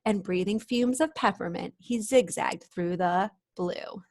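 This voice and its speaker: A woman reading a storybook aloud expressively for children, pausing for chatty explanations and questions to her young listeners. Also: mid-range voice, slight background noise.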